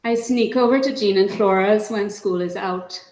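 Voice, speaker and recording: A woman from Trinidad reading her poems aloud in a measured way, her line endings often dropping soft. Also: medium pitch. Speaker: featured poet. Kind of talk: poetry reading. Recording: echoey room.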